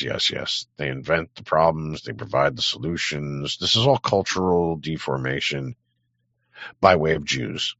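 A man's voice: a bass voice, pushed and bright, no echo, highs cut off. A man hosting a livestream, rambling through a profane, sardonic political rant. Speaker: livestream host.

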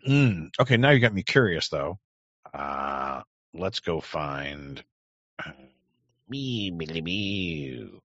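A man's voice: low voice, big pitch swings, slow pace, long pauses, a bit bright, no echo. A man streaming live, chatting casually and reacting crudely to clips he plays. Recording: dry, dull lo-fi sound.

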